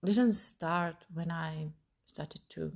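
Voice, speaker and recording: A woman's voice: low voice, drawn-out words, creaky. A woman in her thirties from Italy, hosting her own podcast and thinking aloud as she searches for her words. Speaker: podcast host. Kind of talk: personal podcast monologue.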